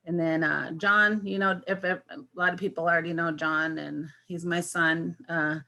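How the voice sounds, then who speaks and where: A woman's voice: lowish voice, fairly even intonation, sharp tone. Fifty-year-old woman candidate on a video call. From Washington State, US. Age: 50s. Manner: halting, many fillers and restarts. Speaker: election candidate. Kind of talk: candidate forum introduction.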